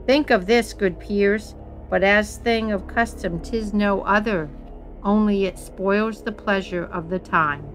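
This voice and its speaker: A woman playing a composed, commanding queen in a measured Shakespearean dramatic reading. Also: medium pitch, soft background music, close to an everyday voice, low-quality recording. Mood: smooth, placating. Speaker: amateur reader in a dramatic reading.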